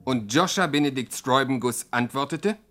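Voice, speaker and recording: A man plays a mock-grand narrator in a 1960s radio comedy. The voice is mid-pitched and formal, with faint music underneath. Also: moderate pace, a bit bright, close to an everyday voice. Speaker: second narrator.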